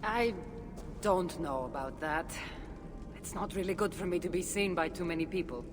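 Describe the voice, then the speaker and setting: An actress playing a guarded, solitary ancient warrior woman in a video game, with a Greek accent and a measured delivery. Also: fairly low pitch, in a large hall, lightly acted. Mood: hesitant. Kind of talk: cinematic video game dialogue. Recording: clear background ambient noise.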